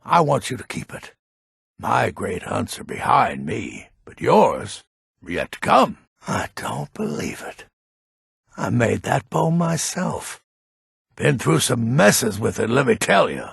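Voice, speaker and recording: A man playing a gruff, grumpy but honourable old Nord hunter in a fantasy video game, with a gravelly, husky voice and a weighty, deliberate manner. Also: medium pitch, very animated, fairly bright tone, dry recording, studio quality, exaggerated voice. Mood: gruff, reflective. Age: older.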